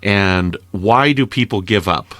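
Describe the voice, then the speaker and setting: A man in a casual interview-style discussion, explaining patiently and asking gentle questions. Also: fairly low pitch, slow, dry recording. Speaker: guest commentator, interviewer.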